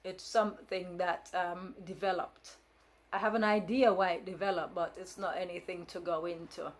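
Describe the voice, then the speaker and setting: A woman's voice: lowish voice, steady tone, normal speed. A woman in her fifties whose first language is Jamaican Patois tells a story to camera in a casual, rambling way, with many fillers and restarts and a firm, frank edge. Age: 50s. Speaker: vlogger.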